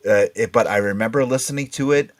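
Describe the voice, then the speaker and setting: A middle-aged American man hosting a casual podcast chat, often halting and repeating words as he steers between topics. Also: fairly low pitch. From Connecticut, United States. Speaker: podcast host.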